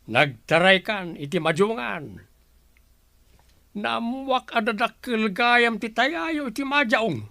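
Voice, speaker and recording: A man's voice: sits high, expressive, slow, vocal fry, pushed and bright, dry recording, full character voice. A man playing a quarrelsome older husband with a gambling habit, grousing in a radio drama. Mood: argumentative. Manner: argumentative, grousing. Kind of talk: Ilocano radio drama acting.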